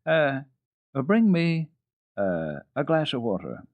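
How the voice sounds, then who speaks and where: A man playing a genial, wry gentleman father with a brisk, courteous manner in a children's storybook reading. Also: medium pitch, wildly swooping pitch, no echo, phone-quality sound, pitched above the performer's own voice. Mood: flustered.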